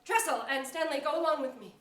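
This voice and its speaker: A woman as a high-voiced, proud noblewoman. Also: quick pace, a bit bright. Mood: cool, yielding. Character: proud, reluctant young noblewoman in mourning. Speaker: stage actor. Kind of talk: theatrical Shakespearean staged reading. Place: indoor theatre stage. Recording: echoey, noisy theatre, distant mic.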